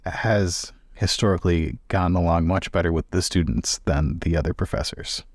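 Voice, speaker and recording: A man's voice: deep voice, steady tone, moderate pace, no room sound, clean studio sound. A man casually narrating his character's actions as a player in a tabletop roleplaying game.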